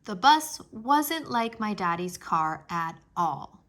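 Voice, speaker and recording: Female children's audiobook-style narrator, very bright voice, expressive storybook delivery. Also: mid-range voice, slow pace. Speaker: read-aloud narrator for children.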